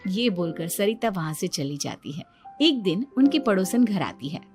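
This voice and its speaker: A woman's voice: medium pitch, lively intonation, normal speed, darkish tone, soft background music. A woman narrating a cartoon story in a plain storytelling manner.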